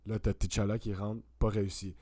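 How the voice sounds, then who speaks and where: A man's voice: on the low side, little pitch movement, normal speed, warm tone. A man from Canada with a Quebec French accent, chatting casually about films in a slangy, colloquial way. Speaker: podcast guest. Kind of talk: casual film discussion.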